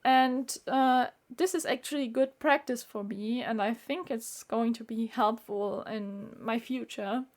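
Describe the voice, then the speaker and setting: Slow, earnest woman. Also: fairly high pitch. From Austria. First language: German. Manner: earnest, halting with frequent fillers. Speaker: vlogger. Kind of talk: casual talk to camera.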